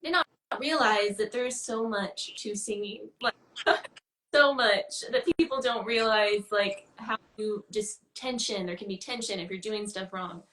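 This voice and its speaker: A woman's voice: medium pitch, a little slow, a bit bright. Woman guest chatting in an echoey room. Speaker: guest musician being interviewed. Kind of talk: casual chat. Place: indoor room on a livestream call. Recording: roomy, echoing livestream call.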